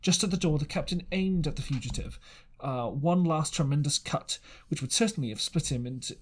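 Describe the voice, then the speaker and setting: A man's voice: on the high side, husky. A man reading an adventure novel aloud as an amateur narrator, stumbling now and then and correcting himself. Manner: halting reading with misreadings and corrections. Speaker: amateur audiobook reader.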